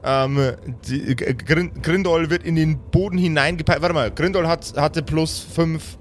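A man's voice: on the high side, steady tone, unhurried, a little hiss or hum. A man acting as game master, narrating an improvised tabletop game and slipping English words and swearing into his talk.

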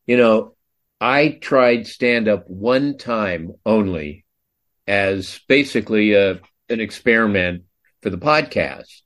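A man's voice: fairly low pitch, animated, slow pace, fairly bright tone. A man hosting a podcast, chatting casually and telling a story with incredulous asides. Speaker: podcast host. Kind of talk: casual interview chat.

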